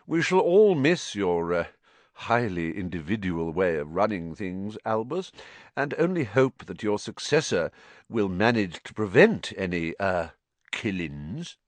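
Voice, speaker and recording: Storybook villain: smug upper-class man as a male narrator acts him, silky, lazy, menacing, swooping pitch, close dry sound. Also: big pitch swings, no room sound. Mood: mock-polite. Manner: unhurried, smug, oily politeness. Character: sneering, smooth, lazily menacing aristocratic man. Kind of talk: audiobook character acting.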